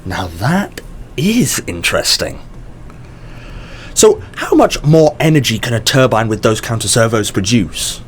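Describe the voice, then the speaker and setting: A man's voice: mid-range voice, very animated, measured pace, slight background noise. An actor in an audio drama playing a mysterious, encouraging male company boss who is polished, effusive and probing.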